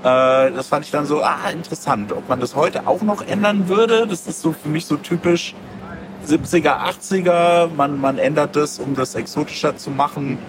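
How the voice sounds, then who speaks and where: A man's voice: on the high side, normal speed. A man speaking casually as an interview guest, thinking aloud in a rambling way. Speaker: interview guest, graphic novel creator. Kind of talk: casual chat. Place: outdoors at a busy book fair. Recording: clearly noisy outdoor fair ambience.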